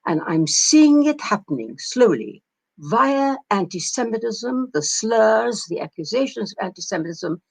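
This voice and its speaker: Older woman from palestine giving a keynote, slow and thoughtful, husky gravelly voice. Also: a little high, sing-song. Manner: measured, reflective, with many pauses and fillers. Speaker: keynote speaker. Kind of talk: conference keynote talk.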